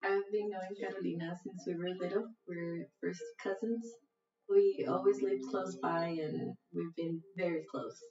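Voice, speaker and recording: A woman's voice: on the low side, steady tone, normal speed. A young woman from Costa Rica speaking casually in a documentary interview, with light laughs. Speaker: interviewee, cousin. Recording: roomy, distant, dull audio with music.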